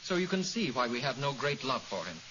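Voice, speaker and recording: A man plays a serious young gentleman in a vintage radio mystery. His mid-pitched voice is sober and halting, on a dull recording with steady hiss. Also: close to an everyday voice. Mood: resentful. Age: young adult. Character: Randolph, serious, resentful young gentleman in love. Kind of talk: 1940s radio drama acting.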